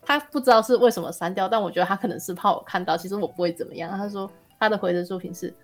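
A woman's voice: medium pitch, rapid-fire, faint music behind. A woman with a Taiwanese Mandarin accent chatting casually as a podcast guest. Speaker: self-publishing novelist on a podcast. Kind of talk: casual animated chat.

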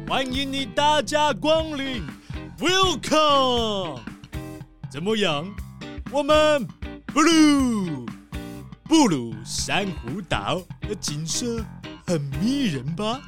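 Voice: medium pitch, big pitch swings, drawn-out words, music in the background. A performer voicing a boisterous rich seal who owns cruise ships, hammy, laughing often and dropping English words. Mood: boastful.

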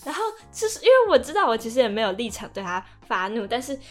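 A young adult woman co-hosting a casual podcast, telling things in an animated way and acting out remarks. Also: on the high side, quick pace, soft background music. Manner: animated storytelling, acts out quoted remarks. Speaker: podcast co-host. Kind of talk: casual conversational podcast chat.

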